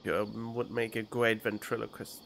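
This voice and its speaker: A man's voice: fairly low pitch, level tone, normal speed, creaky, fairly bright tone, slight background noise. A man giving casual let's play commentary as a streamer, with dry, wry asides. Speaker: video game streamer. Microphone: studio condenser mic. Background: faint game music.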